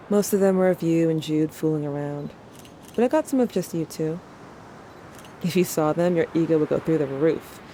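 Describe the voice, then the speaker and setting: A woman's voice: on the low side, dark tone, slight background noise, natural voice. A young woman playing a wistful, self-doubting teenager, narrating memories in an intimate, wry audio drama monologue.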